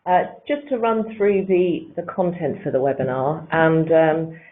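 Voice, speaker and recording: A man's voice: a little high, a little slow. A man moderating a webinar, upbeat and welcoming, a little rambling as he introduces the speakers. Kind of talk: hosting a webinar. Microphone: video call. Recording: tinny webinar audio.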